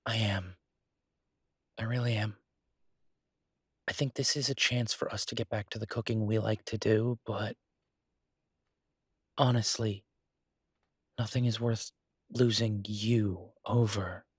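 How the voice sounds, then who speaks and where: A man playing a devoted, easygoing chef and reassuring partner in an intimate audio roleplay, speaking softly and haltingly with a husky voice. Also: lowish voice, level tone, no room sound, clean studio sound. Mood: earnest, reassuring.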